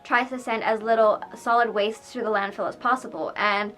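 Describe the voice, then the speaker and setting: A young woman with a voice a little on the high side chats informally to her camera as a vlogger. She speaks in a confessional, conversational way from a room at home, with soft music playing underneath. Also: fairly even intonation, normal speed, poor audio. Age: young adult. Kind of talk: casual confessional chat to camera. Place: small room at home, indoors. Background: soft background music.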